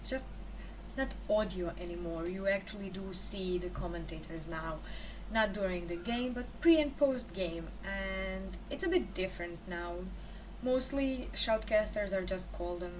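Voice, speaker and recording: A woman's voice: mid-range voice, normal speed, recorded from a distance, background noise. A young woman from Croatia with a Croatian accent, speaking English as a second language, chatting loosely in an interview about her work as a game caster, often restarting her sentences. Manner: rambling, self-correcting, chatty. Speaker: esports caster, interview guest. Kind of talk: casual answers to interview questions.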